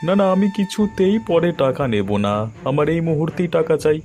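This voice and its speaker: A man's voice: fairly high pitch, sing-song, brisk, a little mellow, faint music behind. A man playing a stern, money-grubbing village doctor in a cartoon, blunt and insistent. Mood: demanding. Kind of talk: Bengali cartoon voice acting.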